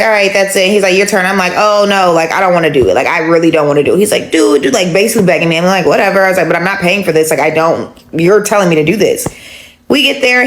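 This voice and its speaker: A woman vlogger telling a casual, exasperated travel story and filling her speech with "like". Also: on the low side. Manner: animated, exasperated, heavy use of "like".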